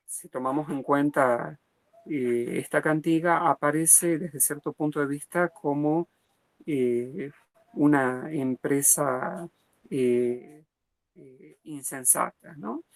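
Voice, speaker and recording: A man giving an academic lecture, hesitant, with many pauses and fillers, slow and deliberate. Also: on the high side, no echo.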